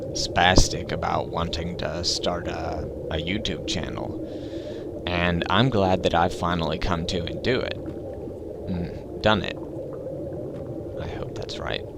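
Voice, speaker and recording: A man in his twenties with a deep, slightly crackly voice, talking slowly and thoughtfully in a hesitant personal monologue. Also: creaky. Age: 20s. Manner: slow, thoughtful, hesitant with fillers. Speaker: gaming channel host. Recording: loud background noise.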